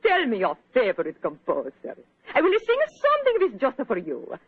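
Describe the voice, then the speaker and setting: A woman's voice: fairly high pitch, wildly swooping pitch, fairly quick, slightly rough, no room sound, heavily acted. An actress playing a fiery, melodramatic woman opera singer and murderess in a flamboyant 1940s radio drama. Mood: coquettish. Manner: theatrical, flamboyant. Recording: muffled, band-limited 1940s radio broadcast.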